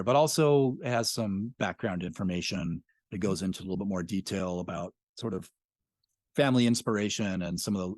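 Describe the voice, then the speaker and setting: A man's voice: low-pitched, no room sound, clean studio sound. An American man chatting casually as an interview guest, with an easygoing, self-effacing storytelling way of talking. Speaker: podcast guest, audio drama creator.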